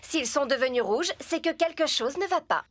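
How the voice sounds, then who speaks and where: An actress voicing a patient film effects technician in a cartoon, explaining things clearly and step by step. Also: female voice, sits high, animated, moderate pace. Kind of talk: French cartoon dubbing. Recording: soundtrack music behind.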